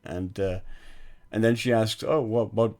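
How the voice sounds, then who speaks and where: A man's voice: lowish voice, fairly even intonation, a little slow, a little mellow. A man co-hosting a film podcast, retelling movie scenes in a rambling, casual way with many restarts and laughs. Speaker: podcast co-host.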